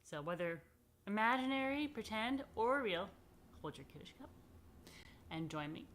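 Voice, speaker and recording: A woman's voice: mid-range voice, expressive, normal speed, fairly bright tone, slight background noise. A woman rabbi hosting a children's story time, speaking informally and playfully straight to the children in a chatty way.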